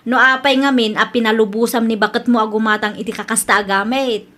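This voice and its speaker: A woman plays a nosy older sister in an Ilocano drama. Her high voice carries an Ilocano accent as she frets and disapproves over family matters. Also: fairly even intonation, a little fast, fairly bright tone, lightly acted. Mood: disapproving, worried. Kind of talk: Ilocano audio drama dialogue.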